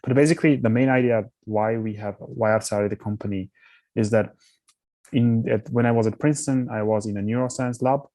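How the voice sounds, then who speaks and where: A man's voice: medium pitch, fairly even intonation, brisk, warm tone, no echo. A young man from Armenia, a startup founder answering interview questions thoughtfully, often restarting his words.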